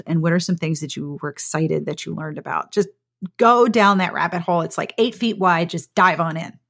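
A woman hosting a casual podcast interview in a playful, encouraging way. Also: fairly low pitch.

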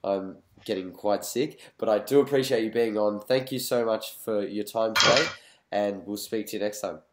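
Nasal podcast host, man, lowish voice, enthusiastic, roomy echo. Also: normal speed, slight background noise. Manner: warmly enthusiastic wrap-up. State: nasal from a cold. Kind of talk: casual interview talk. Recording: echoey room.

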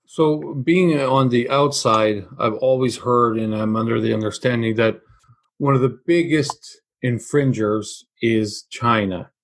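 A man's voice: medium pitch, a little slow. Man from Portugal asking questions. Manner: curious, asks follow-up questions. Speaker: interviewer. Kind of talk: interview questions.

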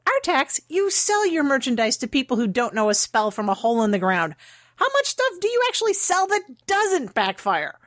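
A man's voice: extremely high pitch, huge pitch swings, moderate pace, fairly bright tone, dry recording, studio quality, pitched above the performer's own voice, heavily acted. A man voicing a brash, confident young woman who teases and pushes ahead without hesitation. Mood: teasing. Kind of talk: audiobook character voice.

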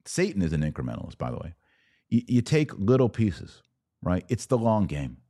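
A man with a low-pitched voice speaks as a podcast host in a dry, close recording. He delivers opinion commentary as a monologue to his viewers. His manner is didactic and emphatic, punctuated with rhetorical check-ins. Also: big pitch swings, moderate pace, mellow, no room sound.

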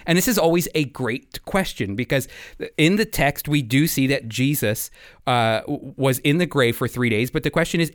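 An American man hosting a radio Bible program, teaching in an earnest, emphatic sermon style with deliberate phrasing. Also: medium pitch, clean tone, no room sound, clean studio sound. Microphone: close studio microphone.

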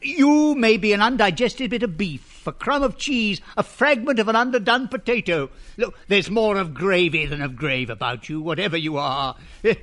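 A crotchety old male miser in a radio drama, with faint music behind. His voice is sharp and extremely high, blustering and stammering as fear gets the better of him. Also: lively intonation, normal speed, few pauses. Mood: scoffing. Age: older. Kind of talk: theatrical family radio drama.

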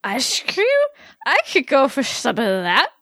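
A woman plays a drunk fantasy partygoer who would rather be elsewhere. The voice is high and swings widely, with a shaky fake British accent and exaggerated tipsy rambling, recorded dry and close in a fan-fiction reading. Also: lively intonation, moderate pace, a bit bright, no echo. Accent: dodgy put-on British. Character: drunk, party-averse fantasy fanfic character Vex. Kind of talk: amateur fan-fiction read-aloud.

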